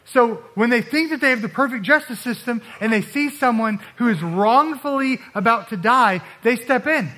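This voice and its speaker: Bright-voiced, casual preacher. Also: male voice, extremely high pitch, normal speed, hardly any pauses, rough recording. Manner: casual, animated, with frequent fillers and acted-out quotes. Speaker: pastor. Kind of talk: sermon.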